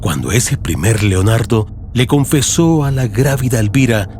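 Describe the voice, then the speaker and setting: A man narrating a literary audio story in a solemn, measured, dramatic way. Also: mid-range voice, lively intonation, darkish tone, a little hiss or hum. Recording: music behind the voice. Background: music.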